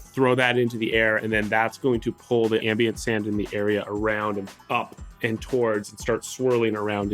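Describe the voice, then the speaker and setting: A man speaking casually as a tabletop game player, describing what his character does. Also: mid-range voice. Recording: music behind the voice. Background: music.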